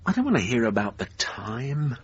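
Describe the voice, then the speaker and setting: Man acting earnest exasperated hypnotherapist, radio drama, animated pitch, halting manner, muffled recording. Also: natural voice. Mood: groggy, irritated. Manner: halting, repeats words when flustered. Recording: dull lo-fi radio recording, audible noise.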